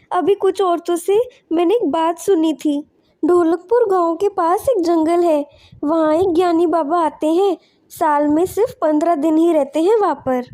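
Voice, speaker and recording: A woman acts out a poor, anxious wife and mother from an animated folk tale. Her voice is very high and soft-toned, plaintive and earnest as she urges her family. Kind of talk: animated moral story dialogue.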